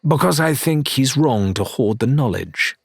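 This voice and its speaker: A man's voice: mid-range voice, lively intonation, a little slow, creaky, slightly rough, no echo, studio quality, voice pushed up, heavily acted. A man voicing a sly pirate turncoat who speaks amiably and persuasively, with a curt edge, in an audiobook.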